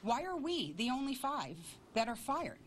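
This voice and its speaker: A woman's voice: sits high, sing-song, normal speed, slight background noise. A woman speaking in an aggrieved news soundbite. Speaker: fired agency worker.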